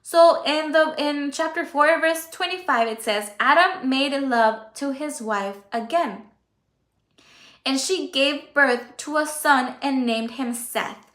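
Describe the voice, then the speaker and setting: Native spanish speaking lady doing a casual bible study, kinda high voice, roomy echo. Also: slow pace, a bit bright. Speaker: Bible teacher and channel host. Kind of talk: informal Bible study talk, reading and explaining.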